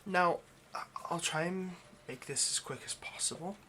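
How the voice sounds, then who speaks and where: A man's voice: fairly high pitch, normal speed, heavy background noise, natural voice. A man playing a nervous, obsessive vampire lab technician in an intimate ASMR roleplay, with hesitant, stammering, overly polite delivery. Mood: eager, flustered.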